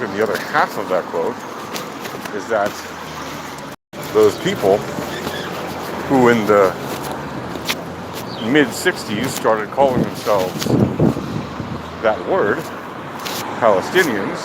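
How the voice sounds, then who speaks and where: A man giving opinionated, off-the-cuff political commentary in a deliberate, emphatic, argumentative manner. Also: on the low side. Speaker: speaker of a political monologue. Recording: loud outdoor traffic or engine noise.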